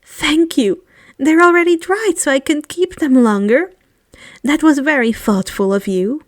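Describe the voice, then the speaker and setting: A woman voicing a dreamy, gentle, happy young girl from a fantasy story, with a whimsical and delighted manner. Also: high voice, measured pace.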